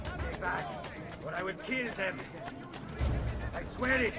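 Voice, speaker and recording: A cornered, menacing killer in a game cutscene. His voice is extremely high and desperate, with music clearly behind it. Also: slow pace. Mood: panicked menace. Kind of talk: video game cutscene acting.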